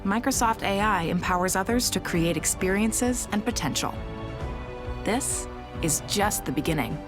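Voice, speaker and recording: A woman voices a corporate promo in a polished, upbeat announcer style. She reads it as a clean studio voice-over. A clear music bed plays under her voice. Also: medium pitch, lively intonation, normal speed. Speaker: narrator of a corporate promo video.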